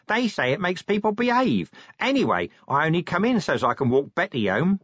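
A man's voice: sits high, sing-song, normal speed, a bit bright, slightly rough, no room sound, lo-fi sound, voice pushed up, heavily acted. A man voicing a cheeky, grubby male watch corporal in an audiobook, chatty and matey in informal working-class speech. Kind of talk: audiobook character voice.

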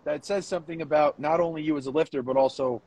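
A man's voice: on the high side, fairly even intonation, quick pace, darkish tone, no echo. A man co-hosting a casual sports podcast as a coach, emphatic and given to swearing and repeating his phrases. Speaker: podcast co-host, powerlifting coach.

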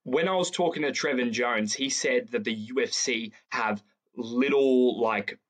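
A male host runs the interview in a casual, upbeat way. He uses plenty of slang and often repeats himself as a question gets going. His voice is of ordinary pitch for a man. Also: a little slow, fairly bright tone.

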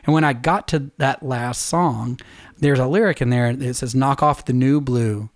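A middle-aged man in easy conversation, chatty and laughing often as he drifts from one story to the next. His voice sits at an ordinary pitch for a man. The recording is dry and close, with no room sound. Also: moderate pace, dry recording. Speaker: podcast co-host and musician. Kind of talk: casual chat.

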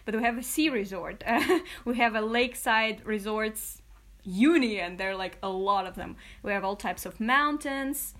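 Young woman, higher voice. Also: moderate pace, fairly bright tone. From Kazakhstan. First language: Kazakh and Russian. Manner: chatty, laughs often, many fillers and restarts. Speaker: interview guest. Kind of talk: casual interview answers.

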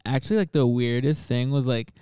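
Rambling young man, mellow smooth voice. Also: mid-range voice, fairly quick, no echo. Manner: rambling, laughs a lot, many fillers and restarts. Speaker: podcast co-host, stand-up comic. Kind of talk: casual chat.

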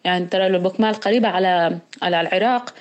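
A woman from eastern Syria co-hosts a podcast in relaxed conversation. She speaks with a Deir ez-Zor Euphrates Arabic accent, and her speech runs quick. She laughs often. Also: lowish voice. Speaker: podcast co-host. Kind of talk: casual chat.

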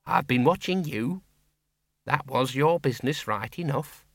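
A man voicing a sharp-tongued older spinster who runs a farm, talking bluntly in country speech. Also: mid-range voice, expressive, husky, no echo, studio quality. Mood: wistful. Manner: brisk, blunt country talk. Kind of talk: audiobook character voice.

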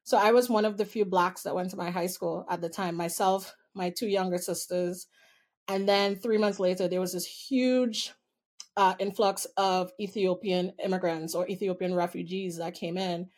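A woman's voice: fairly low pitch, steady tone, normal speed, darkish tone. A woman from Trinidad with a Trinidadian accent, a guest telling personal stories in an interview in a candid, amused way and drawing out key words.

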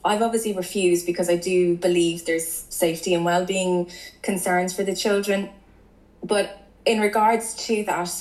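A woman calling in to ask a family law question, speaking hesitantly with many pauses. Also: mid-range voice, darkish tone. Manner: hesitant, lots of fillers. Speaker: caller. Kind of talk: asking questions on a call-in. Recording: echoey call audio.

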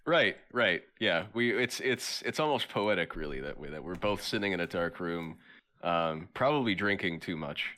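A young man co-hosting a podcast whose voice is scratchy from illness, talking in a laid-back, halting way and laughing often. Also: low-pitched, expressive, pushed and bright. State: scratchy voice while recovering from illness. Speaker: podcast co-host. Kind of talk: casual comedic chat.

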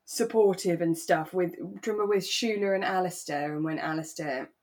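A woman with a slightly low, husky voice chats as a podcast co-host. She talks in a relaxed, conversational way, working out her thoughts as she goes and checking for agreement. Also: brisk. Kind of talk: relaxed chat discussing a radio drama.

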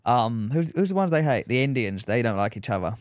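A man's voice: mid-range voice, very animated, brisk, no echo, clean studio sound. A man in casual podcast chat, teasing and joking with his co-host. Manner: joking, teasing, laughs often. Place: podcast studio.

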